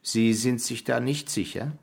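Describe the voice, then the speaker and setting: An actor playing an evasive presidential candidate who answers with mocking questions in a radio drama interview scene. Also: male voice, medium pitch, unhurried, vocal fry, a little mellow, slightly rough. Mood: wary, mocking. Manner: probing, sardonic.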